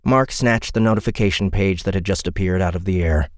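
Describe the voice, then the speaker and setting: A man's voice: sits low, fairly quick, no echo, clean studio sound. A man reading dramatic scripted fantasy narration as an audiobook narrator.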